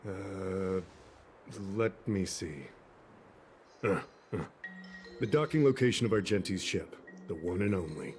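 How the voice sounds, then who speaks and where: A man's voice: deep voice, expressive, measured pace, pauses often, a little mellow, faint music behind. A man voicing a puzzled, hesitant official who reasons methodically in a video game.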